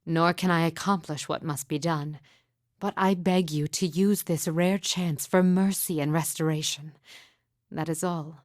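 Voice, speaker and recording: A woman voicing a sorrowful, haunted mystic midwife in a video game, speaking in solemn, hushed, deliberate and formal phrases. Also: fairly low pitch, no echo, clean studio sound, close to an everyday voice. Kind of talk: video game character dialogue, poetic and measured.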